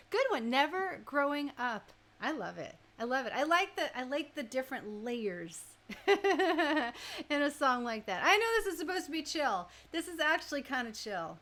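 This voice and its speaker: A woman's voice: sits high, pushed and bright. A woman DJ hosting a live online music show, chatting casually and effusively with her listeners in an informal way. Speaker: online radio DJ and host.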